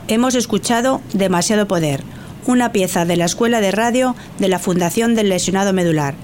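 A woman's voice: mid-range voice, fairly quick, a little mellow, a little hiss or hum. A woman announcer presenting a radio fiction piece in a scripted style.

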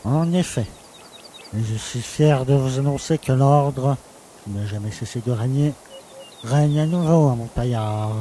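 A man acts out a self-satisfied village mayor with grand, ceremonious phrasing. The voice is mellow and mid-pitched, in an audio drama with faint noise and ambience behind. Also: natural voice, low-quality recording. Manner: pompous, ceremonious. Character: pompous, self-satisfied small-town mayor, courteous to ladies.

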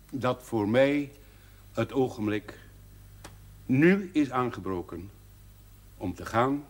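Older brewery director, period television drama, formal speech, proud, deliberate, very slow, strongly varied intonation. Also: male voice, fairly low pitch, darkish tone. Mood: grave, moved. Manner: solemn, oratorical, deliberate, with emphatic swells.

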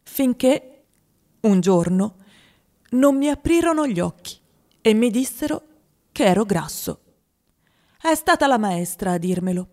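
A woman's voice: medium pitch, lively intonation, unhurried, pauses often, darkish tone. An actress playing a wistful, dreamy, overweight young boy who confides his story in a radio drama monologue. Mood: wistful, confiding.

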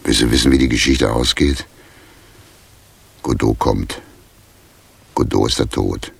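Very deep, gravelly, mournful vagrant. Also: male voice, measured pace, exaggerated voice. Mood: melancholy. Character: shivering male vagrant, drunk-sentimental, morbid and mournful. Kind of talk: 1980s radio play acting.

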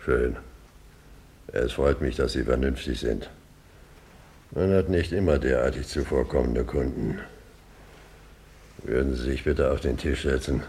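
An actor playing a sinister, over-polite killer who talks in a businesslike way in a 1950s German radio play. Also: male voice, extremely low pitch, measured pace, pauses often, warm tone. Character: sinister, courteous cloaked killer in a slouch hat.

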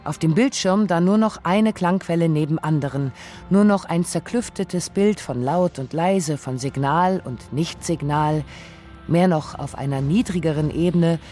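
A man playing an anguished, self-reproaching father who narrates a radio essay in deliberate, reflective phrases. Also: a little high, a little mellow, close to an everyday voice. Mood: matter-of-fact. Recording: dry studio with some music.

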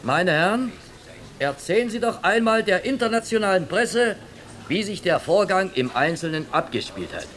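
A pompous indignant communist press official, high voice, speechifying in a radio play. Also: male voice, expressive, normal speed, a bit bright. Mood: officious. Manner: declamatory, propagandistic. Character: pompous East German party press spokesman, indignant.

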